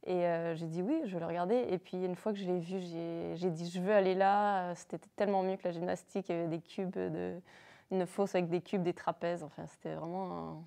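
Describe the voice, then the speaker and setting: A woman's voice: on the low side, steady tone, a little fast, creaky, warm tone. A woman from English-speaking Canada in her forties, a native English speaker, answering interview questions hesitantly. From English-speaking Canada, near Montreal. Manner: hesitant, many fillers. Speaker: circus performer and teacher.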